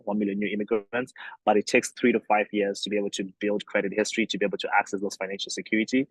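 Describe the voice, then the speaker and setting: A man's voice: fairly low pitch, breathy edge, no echo, low-quality recording. A young man from Zimbabwe, a company founder, answering interview questions thoughtfully with many fillers and restarts.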